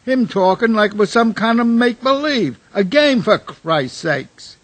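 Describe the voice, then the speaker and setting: A man as a high, sharp-voiced barroom hothead. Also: animated, unhurried, full character voice. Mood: resentful. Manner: agitated, coarse, combative. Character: hot-headed, anxious male barroom regular, rough-spoken. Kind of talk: radio drama acting.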